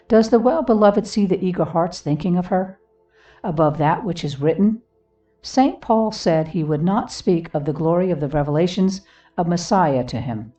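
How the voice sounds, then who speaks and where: A woman reading a devotional book aloud expressively, in a solemn, earnest manner with deliberate phrasing. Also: lowish voice, dark tone, distant mic. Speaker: audiobook reader. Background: music.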